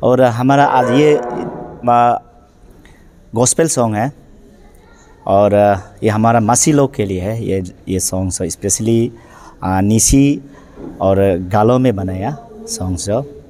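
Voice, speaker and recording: Slow, mellow-voiced middle-aged man. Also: fairly low pitch. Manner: slow, many "uh" fillers. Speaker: album sponsor. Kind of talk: interview to a news camera. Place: church hall, indoors. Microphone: handheld news mics in front.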